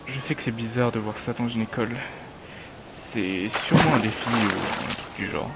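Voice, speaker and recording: A man playing a wary, dry-humoured young companion in a French animated fan dub. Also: medium pitch, normal speed, vocal fry, heavy background noise, natural voice.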